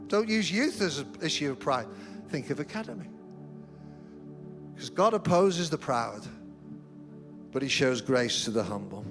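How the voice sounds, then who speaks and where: A middle-aged man preaching a sermon as senior pastor in a church, telling humorous, self-deprecating stories. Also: mid-range voice. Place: church auditorium.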